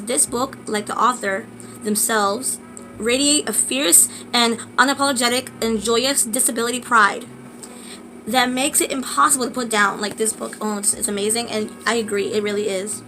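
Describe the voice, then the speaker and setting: A woman with a sore throat, reading a book aloud slowly in a casual vlog, with halting restarts and asides. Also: on the high side, poor audio.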